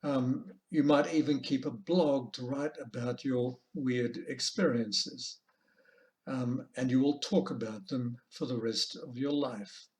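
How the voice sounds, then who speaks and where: A man with an Australian accent presenting an informal online lecture as a language teacher, in a measured, deliberate, halting manner. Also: mid-range voice, steady tone, a little mellow. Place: online video call presentation.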